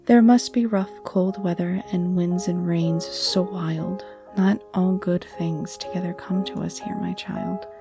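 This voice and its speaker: A woman reads verse in a lowish voice with a noticeable creak. She delivers it tenderly and expressively over clearly audible music. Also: fairly even intonation, a little slow, few pauses. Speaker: poetry reader. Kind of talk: expressive poetry recitation. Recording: music under the voice.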